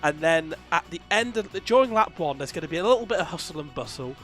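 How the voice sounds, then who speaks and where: A man's voice: a little high, animated, fairly bright tone, faint music behind. Chatty man commentating with a cold. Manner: chatty, laughs often, quick asides. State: losing his voice from a cold. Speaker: race commentator. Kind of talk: sim racing commentary.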